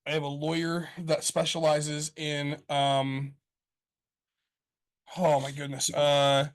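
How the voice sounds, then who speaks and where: A man's voice: on the high side, monotone, takes it slowly. A man rambling casually on a podcast as a guest, swearing freely, with lots of ums and restarts. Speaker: guest explaining business topics.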